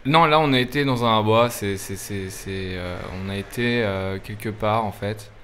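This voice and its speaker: A man's voice: lowish voice, normal speed, fairly bright tone, a little hiss or hum. A man speaking as a guest in a casual radio chat, hesitant and often correcting himself. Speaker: interview guest, web series creator.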